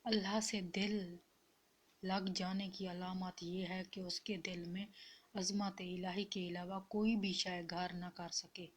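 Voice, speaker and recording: Slow-paced woman reciting quotes. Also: lowish voice, level tone. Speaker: narrator. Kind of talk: scripted narration of spiritual quotes.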